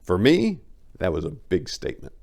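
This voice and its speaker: Male narrator for dramatic audiobook, low-pitched, folksy first-person storyteller. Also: huge pitch swings, moderate pace, creaky, darkish tone. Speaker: narrator of a dramatic book reading.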